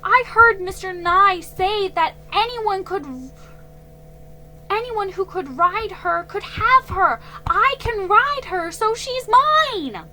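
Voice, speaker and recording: A woman's voice: animated, slow pace, pushed and bright, heavily acted, poor audio. A woman voicing a spirited, headstrong young girl who pleads with her father, in a children's storybook reading. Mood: pleading. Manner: pleading, high and eager. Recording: faint music behind.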